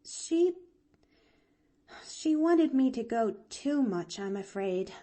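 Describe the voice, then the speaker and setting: A woman voicing an eager, earnest, excitable young girl in an audiobook, with gushing, pleading delivery. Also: a little high, takes it slowly, pitched above the performer's own voice, full character voice. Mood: subdued. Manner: gushing, breathless exclamations and pleading. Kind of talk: audiobook character voice.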